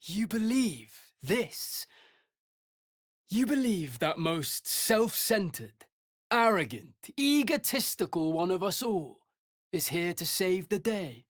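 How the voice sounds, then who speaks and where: A man's voice: high voice, very animated, slow, dry recording, clean studio sound, full character voice. An actor playing a bitter, arrogant male vampire spawn with a sneering, theatrical delivery in a video game. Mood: scornful. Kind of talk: dramatic video game voice acting.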